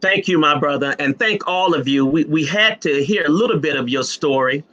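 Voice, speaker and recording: High-voiced, bright male panel host. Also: normal speed, dull audio. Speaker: host and moderator. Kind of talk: conversational panel moderating. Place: online video call.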